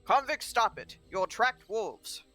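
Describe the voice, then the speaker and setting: A woman's voice: fairly high pitch, lively intonation, unhurried, a bit bright, no room sound, soft background music. A woman voicing an irritable, harsh-tempered male guard in a visual novel, scolding as they go. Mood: exasperated. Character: exasperated, gruff male guards escorting a convict, harsh-tempered.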